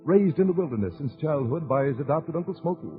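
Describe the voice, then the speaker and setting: Organ music plays clearly behind a muffled vintage radio recording. A male announcer speaks over it in a high, grand, dramatic late-1940s adventure style. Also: expressive, fast. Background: loud organ music.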